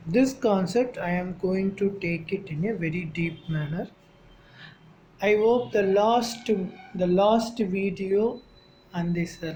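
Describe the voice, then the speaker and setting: A woman with a Tamil accent teaches a lesson in a slightly low voice. She speaks slowly and stops often to check in with her students. A faint background noise runs under the recording. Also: a little mellow, poor audio. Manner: slow, repeats questions like "Okay, va?" to students. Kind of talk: classroom lecture.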